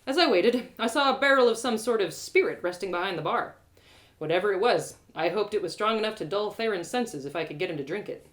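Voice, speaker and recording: A woman narrating her own audio drama with an expressive, animated delivery. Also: medium pitch. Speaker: storyteller.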